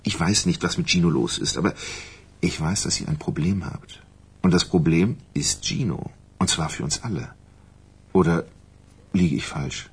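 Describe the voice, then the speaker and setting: An actor playing a blunt, wry, unruffled male writer in a naturalistic radio crime drama, with a husky voice. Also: sits low, lively intonation, moderate pace, heavily acted. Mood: serious, insistent.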